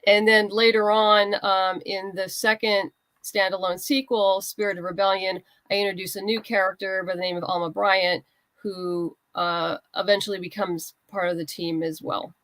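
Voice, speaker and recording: A woman's voice: medium pitch, flat delivery, moderate pace, fairly bright tone, no room sound, poor audio. A woman speaking conversationally as an interview guest, explaining her own creative work as a writer.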